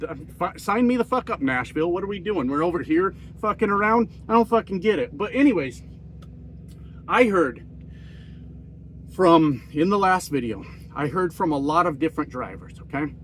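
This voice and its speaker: A man's voice: high voice, a bit bright, slight background noise. A man with a nasal voice, a truck driver ranting in a casual, profane and self-mocking vlog monologue. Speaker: trucker vlogger.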